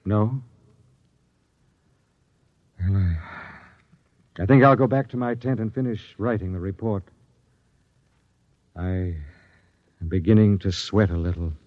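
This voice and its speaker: A man's voice: on the low side, a little slow, long pauses, no room sound, lightly acted. Man playing a grave, weary expedition captain, vintage radio. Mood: weary, resigned. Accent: American. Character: weary middle-aged male expedition captain, grave and resigned. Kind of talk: 1950s radio drama acting. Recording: dull vintage radio broadcast recording, music.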